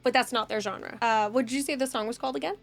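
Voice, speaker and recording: Giggly late-20s woman, teasing listener, slightly high voice, faint music behind. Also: quick pace, creaky. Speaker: host's wife, guest listener. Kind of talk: casual reaction chat. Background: faint music playing.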